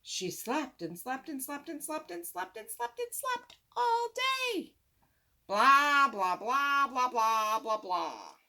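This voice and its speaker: Woman reading as an animated, exasperated storybook boy. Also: sits high, pitched above the performer's own voice, exaggerated voice. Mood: bored, exasperated. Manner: exaggerated, drawn-out repetition. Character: young boy, reluctant reader, candid and exasperated. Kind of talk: storybook character narration.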